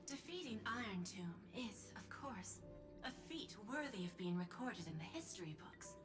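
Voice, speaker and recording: An actress playing a poised, persuasive corporate executive in a video game, speaking formally in measured phrases. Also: female voice, mid-range voice, big pitch swings, music in the background, lightly acted. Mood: persuasive, assured. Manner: formal, smooth-talking, measured. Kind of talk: scripted video game dialogue.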